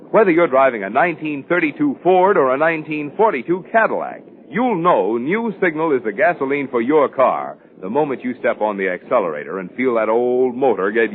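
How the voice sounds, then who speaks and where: Lively 1940s radio ad announcer, male. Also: medium pitch. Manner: polished, emphatic salesmanship. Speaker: radio announcer and sponsor pitchman. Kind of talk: scripted 1940s radio commercial. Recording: narrow, dull vintage 1940s radio.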